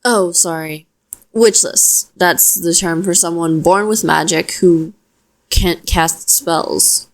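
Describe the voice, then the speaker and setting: A woman playing a protective, self-deprecating young witch in an audio roleplay, talking softly and reassuringly to the listener. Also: lowish voice, slow. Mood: sheepish, self-deprecating.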